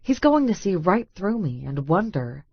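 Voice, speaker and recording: A woman narrates a first-person romance story in a lowish voice. The recording is very dry and a little dull, with no room around her. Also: very animated, moderate pace, breathy edge, no room sound. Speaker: audiobook narrator.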